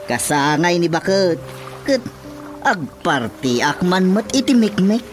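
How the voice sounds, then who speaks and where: Deferential, coaxing village subordinate male actor, slow pace, Ilocano accent, radio comedy. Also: high-pitched, big pitch swings, soft background music, exaggerated voice.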